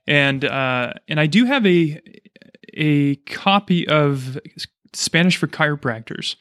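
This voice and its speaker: A man's voice: on the high side, lively intonation, slow, a bit bright, no room sound, studio quality. A man hosting a casual interview, chatting and explaining things as he goes. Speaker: podcast host, chiropractor.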